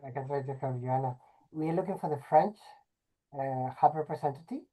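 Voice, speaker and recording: Very low, dark-voiced woman moderator. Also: brisk, poor audio. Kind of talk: online meeting moderation.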